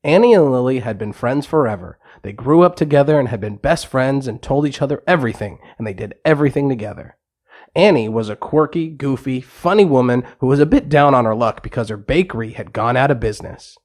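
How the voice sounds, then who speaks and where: A man's voice: mid-range voice, expressive, brisk, darkish tone. A man narrating a scripted bedtime story for kids.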